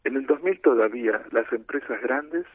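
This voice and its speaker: Argentine guy explaining teamwork slow and steady, kinda husky, phone-line audio, no room sound. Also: medium pitch, no echo. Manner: explanatory, measured, lecturing tone. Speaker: team coach and author. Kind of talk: radio interview answers.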